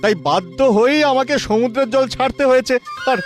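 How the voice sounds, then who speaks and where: A man's voice: very high-pitched, normal speed, soft background music. A man voicing an earnest young fisherman in a folk-tale cartoon, explaining things emphatically. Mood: pleading. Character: earnest young fisherman brother with magic power.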